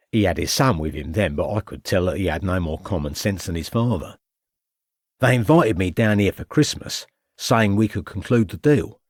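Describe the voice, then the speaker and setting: A man's voice: on the low side, slightly rough, no echo, clean studio sound. A man voicing a cornered male con man who drops a fake French accent for a Cockney one, pleading and sly. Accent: fake French, then Cockney. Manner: pleading, rising to desperate shouts.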